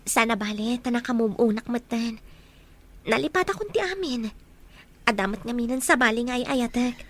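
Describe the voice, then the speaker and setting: Casting: actress as respectful young daughter, Ilocano Filipino accent, clearly high-pitched, gentle drama delivery. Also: female voice, animated, moderate pace. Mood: hurt, restrained. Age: young adult. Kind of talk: radio-drama dialogue.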